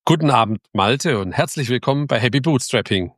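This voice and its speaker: A man's voice: medium pitch, animated, a little fast, fairly bright tone, dry recording, clean studio sound. A man hosting a podcast, opening the episode and interviewing his guest in a casual, informal way. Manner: chatty, informal, with frequent restarts. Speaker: podcast host.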